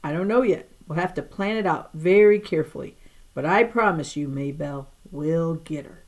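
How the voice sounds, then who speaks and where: A woman's voice: deep voice, normal speed, darkish tone. Storybook best friend, read by a woman: level-headed schoolgirl, reassuring, careful and articulate. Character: calm, sensible, soothing young schoolgirl, loyal friend.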